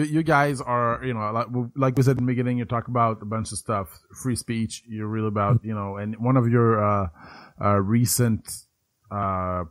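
A man with a French Canadian accent, hosting a podcast interview in a friendly, casual way with lots of fillers. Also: dry recording. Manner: friendly, many fillers, swooping pitch. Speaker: podcast host.

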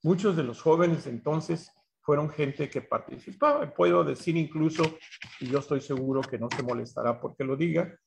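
A man gives a talk recalling his memories, haltingly, searching for words and repeating phrases. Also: fairly high pitch, vocal fry. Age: 70s. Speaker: speaker at a history symposium. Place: small bright room indoors, on a video call.

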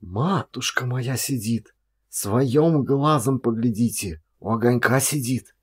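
A man's voice: medium pitch, takes it slowly, breathy edge. A man voicing scared, superstitious village nuns in an audiobook, exclaiming in wailing, folksy chatter. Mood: alarmed.

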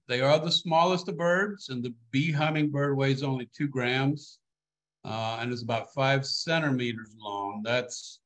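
A man in his sixties, born in Sweden, giving a relaxed, joking talk over a video call from a home office, with many fillers and restarts. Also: mid-range voice. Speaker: guest speaker.

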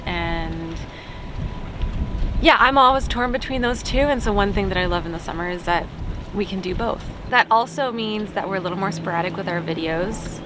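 A young woman co-hosting a sailing vlog, chatting upbeat and casually to camera from a boat cockpit. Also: on the low side. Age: young adult. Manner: chatty, upbeat, laughs often. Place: outdoors in a sailboat cockpit at anchor. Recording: outdoor boat recording with ambient noise.

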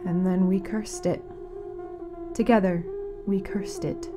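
A woman playing a grave, mournful radio announcer in a fiction podcast, speaking solemnly and dramatically with weighted pauses. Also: medium pitch, fairly even intonation, background noise, natural voice. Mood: dark, intense. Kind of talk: scripted fiction-podcast narration as a community radio broadcast.

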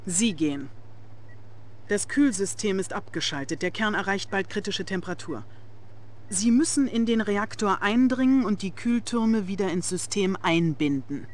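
A woman's voice: mid-range voice, moderate pace, close to an everyday voice. An actress playing a stern, efficient young female Soviet officer in a game cutscene, clipped and authoritative. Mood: urgent, commanding. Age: young adult. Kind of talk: dramatic game cutscene acting.

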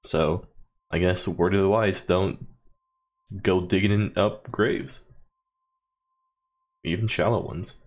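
A man's voice: low voice, fairly even intonation, slow, long pauses. A man giving casual, rambling let's play commentary as a gaming host, with a wry, joking manner.

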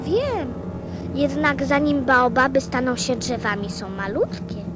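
Very high-pitched, curious little boy prince played by a woman. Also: animated, measured pace, music in the background. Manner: earnest, childlike, insistent. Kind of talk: radio play acting.

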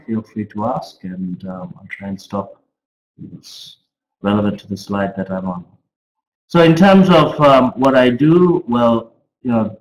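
A middle-aged man gives an informal academic presentation as a finance professor, speaking haltingly with frequent pauses. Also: fairly low pitch. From Australia. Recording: roomy, echoey webcam audio.

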